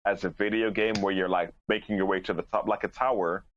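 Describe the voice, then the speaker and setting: Deep-ish guy on a podcast panel just chatting away, kinda muffled lo-fi mic. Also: fairly even intonation, quick pace, fairly bright tone, slight background noise. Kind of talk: casual group discussion.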